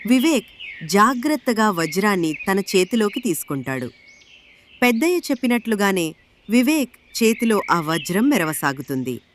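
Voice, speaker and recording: A woman's voice: mid-range voice, big pitch swings, moderate pace, a little hiss or hum. A woman narrating a scripted moral tale as its storyteller.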